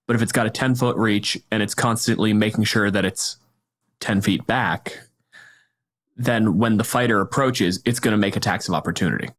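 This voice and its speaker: Low-pitched man talking casually. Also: normal speed. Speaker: podcast host. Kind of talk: casual tabletop game discussion.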